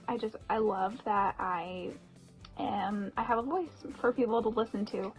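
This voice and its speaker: A woman's voice: a little high, muffled recording. A young woman talking casually to her viewers in a personal vlog, chatty, with frequent laughs and "um" fillers. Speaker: vlogger.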